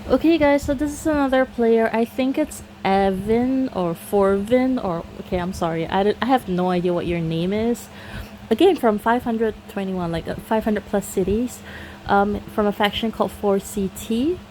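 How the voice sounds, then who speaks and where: A woman's voice: medium pitch, normal speed, a little mellow, a little hiss or hum, rough recording. A woman from Singapore with a Singaporean accent, chatting casually to viewers about a mobile game, filling her talk with "you know" and laughing often.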